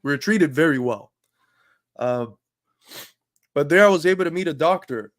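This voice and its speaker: A man's voice: fairly high pitch, lively intonation, moderate pace, no room sound, studio quality. A man hosting a livestream, talking earnestly and building to emphatic points while feeling under the weather. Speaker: livestream host. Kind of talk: casual livestream talk.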